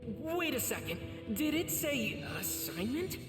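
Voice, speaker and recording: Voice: sits high, big pitch swings, takes it slowly, heavily acted. Earnest teen boy, polite, takes his time, anime game, music behind. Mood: startled. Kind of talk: English anime game dub.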